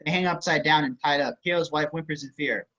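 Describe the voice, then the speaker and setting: A man's voice: fairly high pitch, fairly quick, bright, forceful tone. A man reading screenplay action as scripted narration over a video call. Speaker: stage directions reader. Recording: slightly muffled video-call audio.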